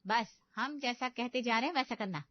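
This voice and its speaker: Casting: woman as bossy, sarcastic young big sister for cartoon comedy, higher-pitched, very dry sound. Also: moderate pace, no room sound, lightly acted. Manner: scolding, sarcastic. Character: bossy, teasing elder sister of the groom. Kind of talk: animated Hindi comedy story dialogue. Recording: dull, lo-fi studio voice-over.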